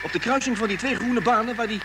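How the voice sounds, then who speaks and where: High-pitched, eager radio operator. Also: male voice, fast talker. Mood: excited. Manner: informal, excitable. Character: eager, curious, chatty male spaceship radio operator. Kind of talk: vintage Dutch radio drama acting. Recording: noisy old radio recording.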